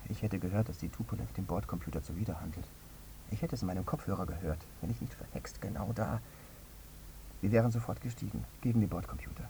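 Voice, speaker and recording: An actor playing a guilt-ridden airline pilot in a radio drama, fretful and subdued, brooding repetitively. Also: male voice, fairly low pitch, fast, vocal fry, mellow. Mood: guilty, brooding. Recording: muffled, dull, faint background noise.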